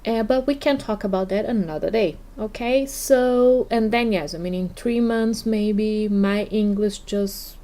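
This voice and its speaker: A woman's voice: mid-range voice, a little slow, few pauses, mellow. A woman from Brazil, a native Portuguese speaker with a Brazilian accent, hosting a casual podcast and often checking in with "okay?". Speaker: podcast host.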